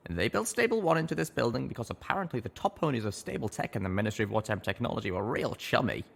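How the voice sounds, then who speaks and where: A man's voice: mid-range voice, lively intonation, fast, vocal fry, pitched above the performer's own voice. A man voicing a jaded, sardonic century-old ghoul pony in a colt's body, rambling wearily in a storybook reading. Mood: jaded explaining.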